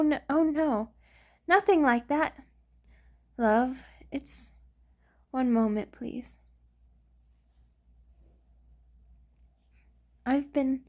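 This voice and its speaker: A woman voicing a centuries-old vampire lover in an intimate ASMR roleplay, doting and anxious, with a hesitant, sighing delivery. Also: high voice. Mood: nervous, confessing. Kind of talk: ASMR romantic roleplay monologue.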